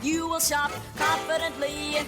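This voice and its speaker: A woman's voice: high voice, flat delivery, unhurried, over loud music. A woman delivering a vintage radio commercial.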